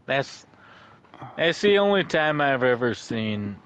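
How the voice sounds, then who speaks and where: Slow, halting man who curses. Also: medium pitch, big pitch swings, a bit bright, clean tone. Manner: slow, halting, swears often, stumbles over words. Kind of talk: casual chat.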